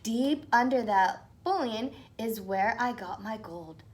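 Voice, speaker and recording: A woman voicing a sly, crabby, tiny old leprechaun man in a storybook, with a sharp, scolding manner. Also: a little high, lively intonation, slow pace. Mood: grudging.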